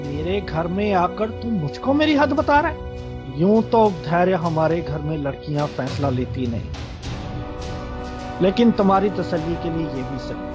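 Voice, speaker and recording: An actor playing a domineering older brother who shouts contemptuous threats in a radio play. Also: male voice, on the high side, expressive, unhurried, music in the background. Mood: threatening. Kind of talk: amateur radio drama acting.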